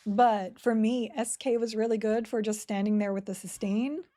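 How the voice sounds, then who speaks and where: A woman at her desk mic, chatting casually while she plays a game live on stream. Her voice is mellow and soft-edged at an everyday pitch. She talks in a rambling, giggly way, trailing off and picking up again. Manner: chatty, rambling, giggly, reads chat aloud.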